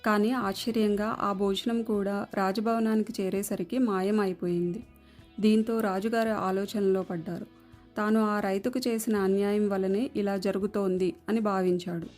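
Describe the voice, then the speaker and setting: A woman's voice: medium pitch, steady tone, normal speed, a little mellow. A woman reading scripted narration for a moral story. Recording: music under the voice.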